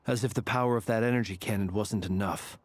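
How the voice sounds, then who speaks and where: A man voices a composed young warrior from an anime game. He speaks in a fairly low voice, measured and grave, reasoning things through seriously. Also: close to an everyday voice. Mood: grave, concerned.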